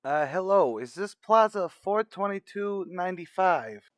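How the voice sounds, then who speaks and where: Telegram clerk played by an actor, retro radio drama: medium pitch, matter-of-fact, courteous, very dry sound. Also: animated, measured pace, no echo, natural voice. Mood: businesslike. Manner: formal, matter-of-fact recital. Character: polite, businesslike telegram clerk on the telephone.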